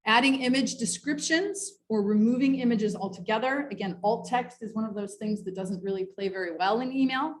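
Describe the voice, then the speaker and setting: A woman's voice: fairly high pitch, brisk, hardly any pauses. A woman presenting a training session in an explanatory, informal way, part prepared and part off the cuff. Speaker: workshop presenter. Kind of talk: semi-scripted training presentation with informal asides.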